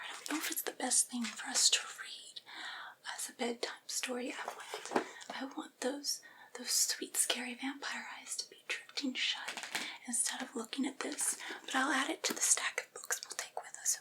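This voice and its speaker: A woman playing a vampire's devoted, patient familiar in a whispered ASMR roleplay, speaking softly and breathily in a coaxing, chatty, gently teasing way. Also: sits high, fairly even intonation, moderate pace, close to an everyday voice, low-quality recording.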